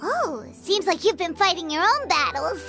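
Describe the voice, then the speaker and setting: Tiny childlike fairy, squeaky high voice, chirpy and eager, video game, loud music. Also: female voice, very animated, normal speed, exaggerated voice. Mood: chirpy, friendly. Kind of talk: English video game voice acting.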